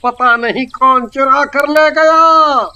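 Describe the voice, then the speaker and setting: A woman voicing a fussy male market shopkeeper in a cartoon story, talking slowly. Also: lo-fi sound, heavily acted. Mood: worried. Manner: high, bright and slow.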